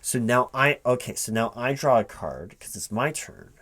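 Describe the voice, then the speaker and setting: A man in a spoken, unwhispered ASMR roleplay, talking casually and haltingly to an unheard partner as if puzzling things out aloud. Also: mid-range voice, lively intonation, a bit bright. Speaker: recruiting manager interviewing a gamer in a one-sided roleplay. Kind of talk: spoken ASMR roleplay, casual one-sided conversation, not whispered.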